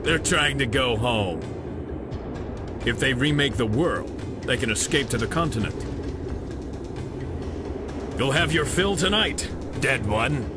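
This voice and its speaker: Ruthless young warlord, mid-pitched, commanding, menacing, game cutscene, loud music. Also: male voice, animated, takes it slowly, pauses often. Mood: grim, resolute. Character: ruthless, proud young male warlord of a serpent clan. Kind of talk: dramatic game cutscene acting.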